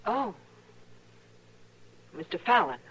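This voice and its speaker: An actress playing a proper, nervous and defensive frontier wife in an old-time radio Western. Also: female voice, medium pitch, very animated, slow, fairly bright tone, breathy edge, full character voice. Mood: uneasy, polite. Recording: noisy vintage radio drama recording.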